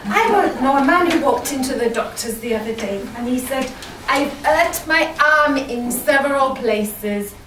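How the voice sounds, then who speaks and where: A woman's voice: high voice, lively intonation, a little slow. A woman playing a comic French musketeer telling gags in an amateur stage pantomime. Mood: jokey. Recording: echoey, noisy hall from far off.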